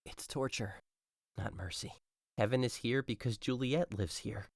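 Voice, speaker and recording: A man's voice: medium pitch, measured pace, gravelly, no echo, studio quality. A man playing a lovesick, despairing young man in a modern-language Shakespeare audio drama, melodramatic and anguished. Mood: wounded, bitter.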